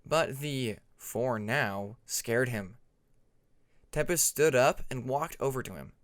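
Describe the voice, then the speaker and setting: A man's voice: mid-range voice, animated, measured pace, no echo. A man reading a scripted story aloud as an audiobook narrator.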